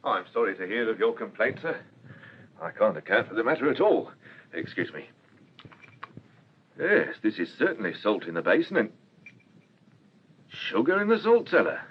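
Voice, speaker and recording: An actor playing a courteous, talkative and somewhat bewildered cafe owner in a radio drama. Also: male voice, lowish voice, big pitch swings, normal speed, long pauses. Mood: apologetic, baffled. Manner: polite, chatty, explanatory. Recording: dull radio recording with faint hiss.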